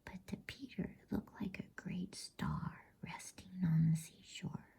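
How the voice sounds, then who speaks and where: Whispering, breathy woman reading a bedtime story. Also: fairly low pitch, expressive. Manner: hushed, unhurried, soothing. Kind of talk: whispered ASMR storybook narration.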